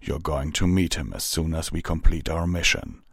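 Man doing a very deep, stern pony captain. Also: steady tone, a bit bright, breathy edge, no echo, studio quality, exaggerated voice. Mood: measured, firm. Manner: measured, authoritative. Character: stern, calm, commanding male pony soldier.

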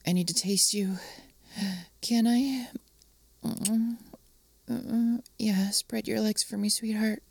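A woman playing an affectionate, flirtatious lover in an intimate adult audio roleplay, breathy and often dropping into whispers. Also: on the high side, fairly even intonation, no room sound, full character voice. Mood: sensual, teasing. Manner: slow, lingering, tender delivery with pet names.

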